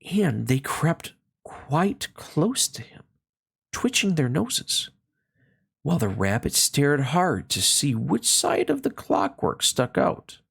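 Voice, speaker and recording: A storybook reader narrating a children's tale aloud in an expressive read-along style. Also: mid-range voice, gravelly, husky.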